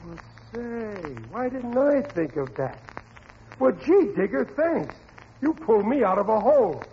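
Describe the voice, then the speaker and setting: A man's voice: high voice, big pitch swings, a little slow. An actor playing a blustering, dim working-class father in a 1940s radio comedy, with slangy, exasperated speech. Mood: grateful. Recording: muffled, hissy old radio recording.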